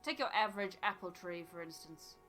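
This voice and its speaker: A woman's voice: lowish voice, steady tone, sharp tone, soft background music. Woman voicing a formal, aloof male botanist. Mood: formal, musing. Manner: precise, formal, measured phrasing. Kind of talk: voiced reading of video game dialogue.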